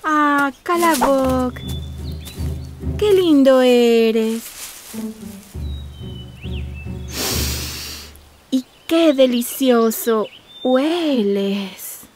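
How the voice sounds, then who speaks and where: A woman voicing a sly, flattering female fox in an animated fairy tale, coaxing and syrupy. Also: high voice, very slow, soft background music, exaggerated voice.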